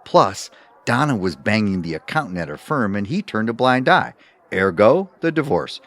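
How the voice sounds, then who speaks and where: A man's voice: lowish voice, big pitch swings, normal speed, fairly bright tone, dry recording. A man playing a blunt, wry, gossipy government clerk in an audio comedy, matter-of-fact in manner. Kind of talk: audio theatre comedy acting.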